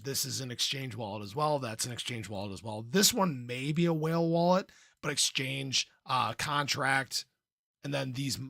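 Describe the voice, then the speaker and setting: A man with a gravelly, slightly hoarse voice at a middling pitch talks casually as a livestream host. He is informal and playful, often clearing his throat. The sound is dry and close, with no room to it. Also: pushed and bright, dry recording. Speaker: livestream host, crypto commentator. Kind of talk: casual livestream chat, reading and answering viewer comments.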